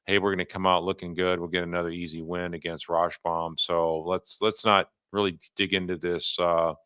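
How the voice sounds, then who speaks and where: A man hosting a livestream, giving unscripted legal commentary and answering viewer questions in a halting, conversational way, often rephrasing himself. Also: low voice, level tone, bright, forceful tone, smooth, no echo, studio quality.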